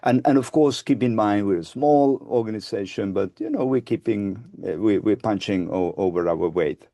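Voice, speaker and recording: A Finnish man in his sixties with a Finnish accent, answering interview questions in a measured, hesitant way, seated in a studio on a lapel mic. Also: lowish voice, warm tone, no room sound. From Finland. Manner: measured, hesitant restarts, gestures with both hands. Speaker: guest, advocacy group founder. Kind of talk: webinar interview. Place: studio with dark backdrop, seated.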